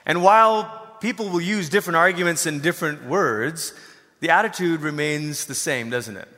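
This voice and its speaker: A middle-aged man from Malaysia preaching a conversational sermon, telling personal stories in an informal, animated way. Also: fairly high pitch, moderate pace. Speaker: pastor. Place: large hall, preaching to a congregation. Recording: long reverberant hall sound.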